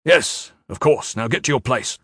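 Man acting a gruff, barking military trainer. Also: mid-range voice, animated, moderate pace, pushed and bright, dry recording, studio quality. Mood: curt. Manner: barking, demanding, curt commands. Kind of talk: dramatised fantasy audiobook dialogue.

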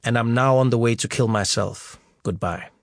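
A man voicing a despairing grown man who speaks flatly and with resignation in a storybook reading. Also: mid-range voice, normal speed, gravelly, no echo, clean studio sound, lightly acted. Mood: resigned.

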